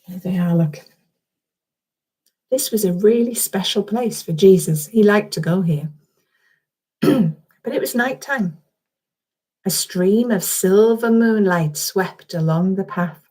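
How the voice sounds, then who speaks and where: A woman's voice: medium pitch, takes it slowly, pauses often, dark tone, husky, poor audio. A woman reading a children's story aloud as its author, chatting expressively and speaking directly to her young listeners.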